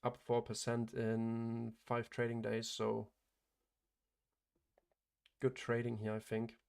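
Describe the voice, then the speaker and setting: A man running a live day-trading stream, giving matter-of-fact commentary, hoarse as he starts to lose his voice, and clearing his throat often. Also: medium pitch, completely flat delivery, takes it slowly, darkish tone. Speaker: day trader hosting a livestream.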